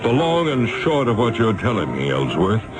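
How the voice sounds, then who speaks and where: An actor playing an impatient, domineering boss in a radio drama, brusque and commanding. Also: male voice, medium pitch, wildly swooping pitch, moderate pace. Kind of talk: 1970s radio drama acting. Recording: vintage radio drama with music bed.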